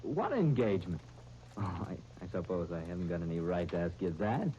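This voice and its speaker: A man's voice: sits low, big pitch swings, warm tone. An actor playing an eager, earnest young American man who trips over his words, in a 1930s radio drama. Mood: let down. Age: young adult. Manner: halting, stammering over words. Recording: muffled, noisy 1939 radio broadcast recording.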